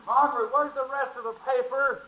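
Exasperated, high-pitched radio sitcom dad. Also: male voice, steady tone, a little fast, husky. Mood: exasperated, grumbling. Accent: American. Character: exasperated middle-aged father, grumbling over his newspaper. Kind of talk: 1950s radio sitcom acting. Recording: muffled old radio recording.

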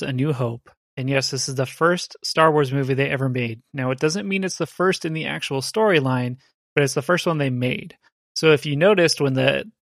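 Male podcast co-host, mid-pitched, chatty explainer, dry close sound. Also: fairly bright tone, dry recording. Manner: chatty, explaining with rhetorical "right?". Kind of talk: casual chat.

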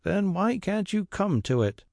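A man voicing a kindly squire over seventy, gentle and weary in manner. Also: on the high side, animated, moderate pace, no echo, studio quality, pitched above the performer's own voice. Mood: exasperated.

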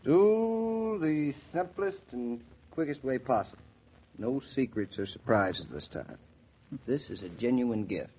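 An actor playing a teasing, good-humored young husband in a 1940s radio drama, with playful, mock-grand banter. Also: male voice, medium pitch, lively intonation, a little slow, mellow, a little hiss or hum, close to an everyday voice. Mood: eager, generous. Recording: muffled 1940s radio recording.

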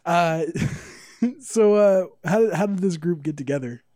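A man's voice: sits high, a little slow, dark tone, no room sound, studio quality. An American man hosting a casual interview in a playful, teasing way. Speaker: podcast host.

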